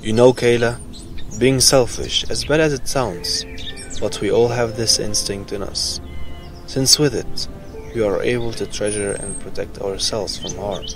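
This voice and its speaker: A man playing a calm, gently wise father in a children's audio story, measured and reassuring. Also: on the low side. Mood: earnest, wise. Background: music and birdsong.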